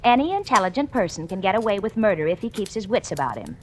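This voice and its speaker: An actress playing a cold, manipulative femme fatale in a 1940s radio drama. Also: female voice, medium pitch, very animated, fast talker, a little mellow, close to an everyday voice. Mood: cold, impatient. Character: cold, scheming female femme fatale, sweet when manipulating. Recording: noisy, clicky old radio recording.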